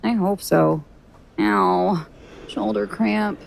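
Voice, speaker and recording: An actress playing a determined young female sword trainee in an audio drama, creaky and straining with effort. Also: medium pitch, drawn-out words, slight background noise, full character voice. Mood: strained.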